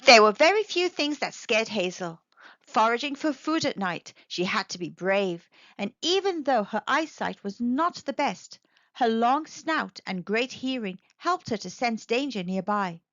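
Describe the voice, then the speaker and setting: Animated woman reading a children's story. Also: on the high side, measured pace, no room sound, highs cut off. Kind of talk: expressive children's read-aloud narration.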